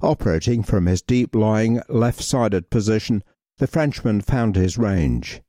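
A man reading a football history article aloud in a measured, even way. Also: lowish voice, no echo, clean studio sound. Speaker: reader.

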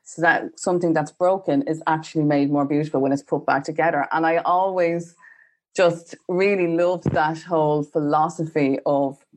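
A woman's voice: low-pitched, fairly even intonation, moderate pace. A woman from Ireland hosting a podcast, talking in a rambling way with many "you know"s and "like"s. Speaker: podcast host. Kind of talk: podcast conversation.

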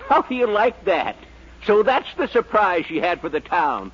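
A man's voice: high-pitched, very animated, moderate pace, breathy edge, heavily acted. An actor playing a folksy, gossipy small-town hotel man, exclaiming in 1940s radio comedy style. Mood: astonished, gossipy. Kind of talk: 1940s radio sitcom acting. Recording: muffled, noisy 1940s radio recording.

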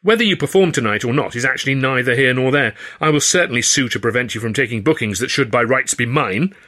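A man's voice: medium pitch, expressive, quick pace, pushed and bright, pitched above the performer's own voice. A man voicing a malicious rival comedian in an audiobook, smug and taunting. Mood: smug menace.

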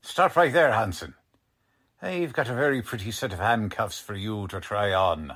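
A man playing a gruff, blunt, streetwise police lieutenant in a 1940s radio crime drama, talking in tough-cop slang. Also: lowish voice, lively intonation, moderate pace, a bit bright. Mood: stern, sardonic.

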